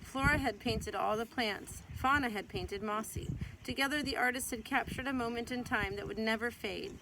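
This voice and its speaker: A woman reading a children's picture book aloud in an expressive storytelling way, stretching out some words. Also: fairly high pitch, moderate pace, noisy background.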